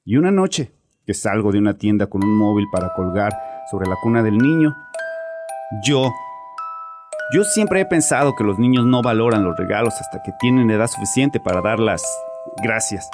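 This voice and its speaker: Guy acting as a troubled dad in a horror audiobook, low voice, sardonic and defensive, bit of music under him. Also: animated, moderate pace, natural voice. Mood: confiding, nostalgic. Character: bitter, self-justifying troubled father confessing to a psychiatrist.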